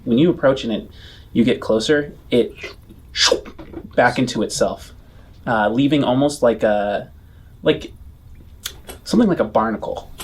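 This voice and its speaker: A man runs a tabletop game as game master. His middle-pitched voice lays out scenes descriptively and haltingly, made up as he goes. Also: a little mellow, slight background noise. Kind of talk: improvised tabletop game narration.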